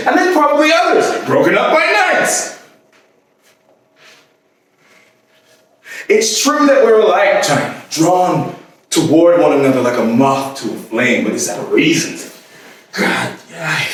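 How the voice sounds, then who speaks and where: A man performing a staged dramatic monologue as a weary, lovesick, despairing man, speaking in halting, broken phrases with long pauses. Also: high-pitched. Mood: rising anguish, then exhausted. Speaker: stage actor. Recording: roomy echoing stage, distant mic, faint noise.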